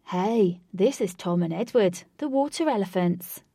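A woman voicing a laid-back male party organiser who talks loudly and breezily and calls people bro. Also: mid-range voice, lively intonation, unhurried, breathy edge, no echo, clean studio sound. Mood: cheery.

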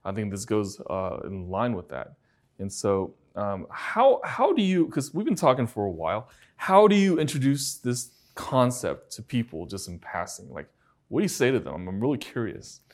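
A man from China, a physician, interviewing a guest in a halting, curious way with many restarts. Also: on the low side, very animated. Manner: halting, repeats words, curious. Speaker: physician host. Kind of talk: casual interview.

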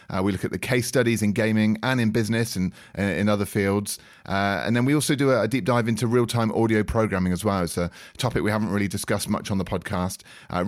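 A man's voice: fairly low pitch, no echo, clean studio sound. A man hosting a podcast, introducing the show and interviewing guests in a conversational way. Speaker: podcast host.

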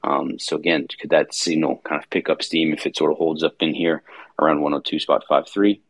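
A tired man with a raspy voice hosting a casual market-review chat, rambling with many fillers and restarts. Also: a bass voice, steady tone, fairly quick, no echo. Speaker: host.

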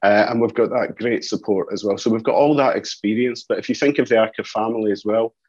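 A man's voice: fairly low pitch, fairly even intonation, fast, a little mellow. A man with a Scottish accent giving an informal business interview, often padding sentences with "you know" and "as well". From Scotland. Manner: repeats "you know" and "as well" as verbal tics. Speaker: company executive being interviewed. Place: office, on a video call. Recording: muffled, roomy video-call audio.

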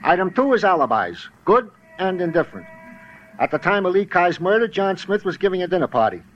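An actor playing a chatty, eager, folksy police sergeant, the earnest comic sidekick in a 1940s radio drama. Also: male voice, high voice, sing-song, brisk, fairly bright tone. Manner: working-class, ungrammatical, earnest. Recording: muffled, hissy old radio recording.

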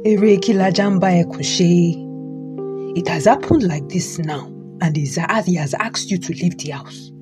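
A woman playing a stern but supportive elderly father in an audio drama, with a Nigerian Yoruba accent and an emphatic, repetitive, admonishing delivery. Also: on the low side. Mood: indignant, scolding. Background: guitar music.

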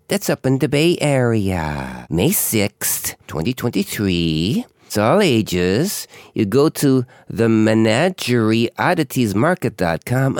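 Male podcast host, hoarse voice, lively swooping delivery, dry close sound. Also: medium pitch, dry recording. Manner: chatty, joking, self-mocking. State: hoarse, scratchy voice. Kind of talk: casual rambling monologue.

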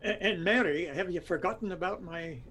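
A man's voice: high-pitched, brisk, fairly bright tone. A man playing a genial older Irish husband in a comedic audio drama, teasing affectionately in a wry, hesitant way.

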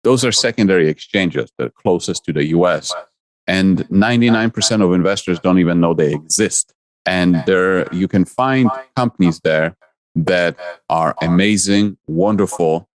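A man's voice: low voice, normal speed. A man, a guest investor answering interview questions earnestly in short listed phrases. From Poland.

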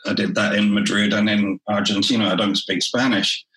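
A man who is a theatre director, talking through his work in a relaxed interview and often restarting his phrases. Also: fairly low pitch, a little fast, echoey room.

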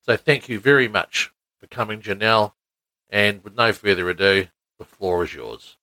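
A man hosting and introducing a reader. His voice is bright and forceful, captured dry and close with very little room sound. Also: fairly low pitch, normal speed, dry recording, rough recording. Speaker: host.

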